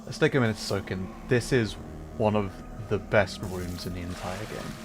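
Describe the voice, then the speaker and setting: A man doing live game commentary, his voice on the low side as he rambles enthusiastically about level design. Game music plays clearly underneath him. He talks like a host chatting with his stream. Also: normal speed.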